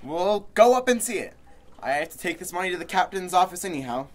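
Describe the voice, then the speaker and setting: A man's voice: high-pitched, sing-song, fast talker. A man playing a practical, reassuring young newlywed husband in a radio horror play. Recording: music under the voice.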